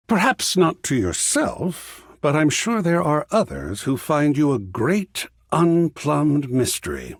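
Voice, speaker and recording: A man voicing a whimsical, effusive video game character who is art itself, raspy and gravelly, speaking in a grandiose, florid, theatrical way. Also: a little high, no room sound, studio quality, exaggerated voice. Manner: grandiose, florid, savoring words with dramatic swoops. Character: flamboyant, whimsical living embodiment of art, effusive and philosophical.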